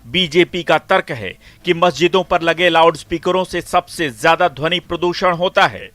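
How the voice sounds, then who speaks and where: A man's voice: fairly high pitch, normal speed, fairly bright tone, no echo. A man reading a scripted news report as the reporter.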